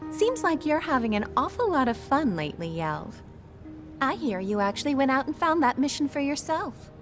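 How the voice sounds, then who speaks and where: An actress playing a poised, friendly, gently teasing mission coordinator in a video game. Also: female voice, sits high, sing-song, moderate pace, soft background music. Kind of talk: video game cutscene acting.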